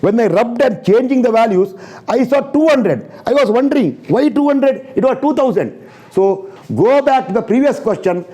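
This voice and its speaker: Male Indian engineering teacher, high and soft-toned, brusque and repetitive, Indian English accent. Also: lively intonation, moderate pace. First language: not English. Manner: blunt, repetitive, drills students with rhetorical questions. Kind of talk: recorded classroom lecture.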